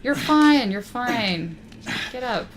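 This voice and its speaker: A woman's voice: on the high side, expressive, takes it slowly, over a noisy crowd, low-quality recording. A woman hosting a casual let's play, joking and playfully sarcastic as she comments on the game. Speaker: gaming channel host. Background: loud game music and audio.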